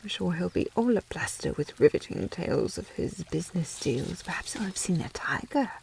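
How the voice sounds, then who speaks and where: A woman's voice: fairly low pitch, animated, normal speed, gravelly, a little mellow, slight background noise. A woman playing a snobbish, teasing young lady with haughty, formal phrasing in a historical romance ASMR roleplay. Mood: snooty, complaining. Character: snobbish, teasing young upper-class lady, secretly fond.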